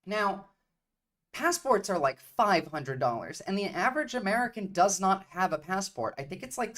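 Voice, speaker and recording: A woman's voice: medium pitch, a bit bright. A woman from Turkey giving blunt, profane livestream commentary on politics, repeating her points for emphasis. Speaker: streamer.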